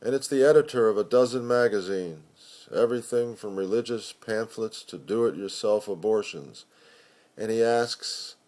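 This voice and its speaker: A man reading a narrative poem aloud in a measured storytelling manner with pauses. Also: on the low side, a little mellow. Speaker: poetry reader. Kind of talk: dramatic spoken-word poetry reading.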